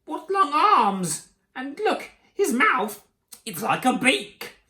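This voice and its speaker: A woman voicing a grim, bossy Tyrannosaurus mother who grumbles and exclaims in drawn-out words. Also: high-pitched, big pitch swings, sharp tone, echoey room, voice pushed up, heavily acted. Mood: horrified.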